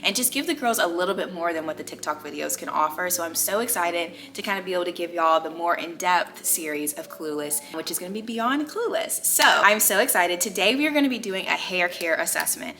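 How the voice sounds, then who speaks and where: A woman's voice: fairly low pitch, animated, brisk, few pauses, sharp tone, soft background music. A young American woman hosting a casual hair care tutorial, chatty and emphatic, addressing viewers like girlfriends. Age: young adult. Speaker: video host, natural hair care creator. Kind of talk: casual vlog tutorial talk.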